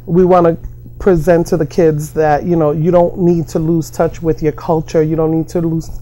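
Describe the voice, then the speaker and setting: A middle-aged American woman answering informally in an interview, halting and often repeating her phrases. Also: deep voice, little pitch movement, mellow. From New York and Massachusetts, United States. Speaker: interviewee, community worker.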